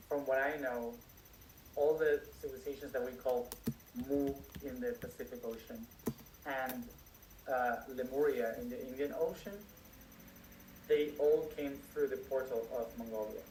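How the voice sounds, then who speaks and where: A man answering audience questions as a spiritual teacher, speaking slowly with many restarts and fillers. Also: mid-range voice, a little mellow, slight background noise. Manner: slow, searching speech with many restarts and fillers. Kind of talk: live Q&A, unscripted. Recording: echoey room, distant mic, faint crickets.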